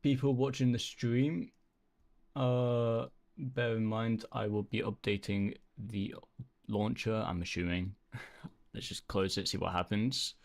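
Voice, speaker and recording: Chill streamer guy, lowish voice, kinda halting, talks slow to his chat. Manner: hesitant, calm, halting. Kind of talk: casual voice chat.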